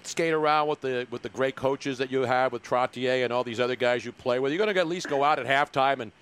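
A middle-aged or older American man with a mid-pitched voice hosts a casual sports show. He talks in brash street slang and banters with everyone, peppering his lines with "man.". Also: fast talker, a bit bright, smooth. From Philadelphia, USA. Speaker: podcast host.

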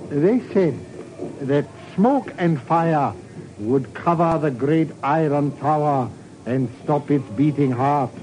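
An actor playing a courteous, grave Indonesian government geologist who speaks formally and ominously in a 1950s radio drama. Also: male voice, fairly high pitch, slow, a little mellow. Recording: noisy 1950s radio recording.

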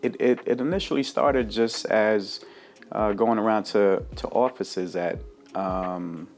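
Low-voiced man, hesitant and relaxed. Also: takes it slowly, faint music behind. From Bermuda and Jamaica. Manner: relaxed, hesitant, many fillers and restarts. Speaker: guest, cafe founder. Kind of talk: casual interview chat.

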